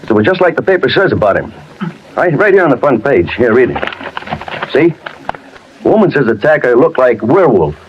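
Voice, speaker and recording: An actor playing a scared, earnest young newsboy witness who hesitates and stammers, in a 1950s radio crime drama. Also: male voice, medium pitch, big pitch swings, moderate pace, long pauses, noisy background, lightly acted. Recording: muffled old radio broadcast.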